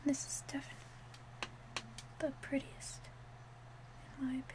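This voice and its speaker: Girl whispering an asmr roleplay, soft step by step narration, pretty flat intonation. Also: high voice, normal speed. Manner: hushed, gentle, step-by-step narration.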